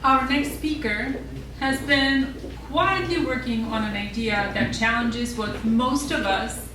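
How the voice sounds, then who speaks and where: A woman hosting a live event, introducing speakers with measured, formal announcing. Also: a little high. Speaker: event host. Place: live event in a large room. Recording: very echoey, distant, noisy event recording.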